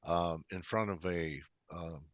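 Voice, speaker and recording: A man's voice: deep voice, fairly even intonation, takes it slowly, a bit bright, dry recording, highs cut off. A man hosting an interview in a casual, chatty style. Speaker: interviewer, host. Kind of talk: casual chat.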